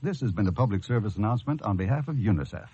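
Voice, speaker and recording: A man's voice: lowish voice, animated, rapid-fire, mellow, husky, no room sound. A man reading a sober, scripted public service announcement in an earnest, polished manner. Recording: dull, dry radio recording.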